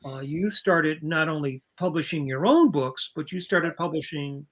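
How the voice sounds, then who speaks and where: A man's voice: a little high, lively intonation, moderate pace. A man co-hosting a podcast, prompting the guest with details in casual chat. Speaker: podcast co-host.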